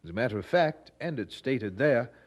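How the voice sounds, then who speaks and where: A man's voice: medium pitch, animated, moderate pace, natural voice. Casting: male actor for earnest period preacher in audio drama; mid-range voice, declamatory, formal, resolute. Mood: firm, indignant. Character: earnest, resolute 18th-century English preacher.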